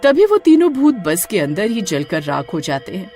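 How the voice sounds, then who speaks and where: A woman's voice: medium pitch, big pitch swings, moderate pace, a little mellow, faint music behind. A woman narrating a horror-comedy cartoon story in a dramatic, suspenseful way.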